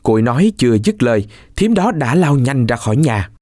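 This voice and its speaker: A man narrates a scripted folk tale for children. His mid-range voice moves expressively up and down while the telling stays measured. The sound is close and dry, with little room to it. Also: medium pitch, sing-song, dark tone, dry recording. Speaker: storyteller.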